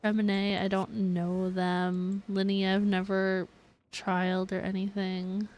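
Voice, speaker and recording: A woman's voice: mid-range voice, monotone, takes it slowly, a little mellow, slight background noise. A woman streaming a video game, chatting casually and giggly with her viewers. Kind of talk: casual livestream chat with viewers.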